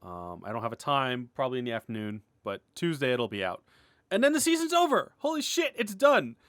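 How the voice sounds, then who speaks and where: A man with a medium-pitched voice talks off the cuff in a dry, echo-free recording. He sounds like a creator giving a candid update to viewers. His delivery is halting and informal, with sentences that break off and start again. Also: very animated, fairly bright tone. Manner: rambling, halting, self-interrupting, often chuckling and clearing throat; informal, mildly sweary, candid. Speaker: animator and web series creator. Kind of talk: casual update vlog, unscripted.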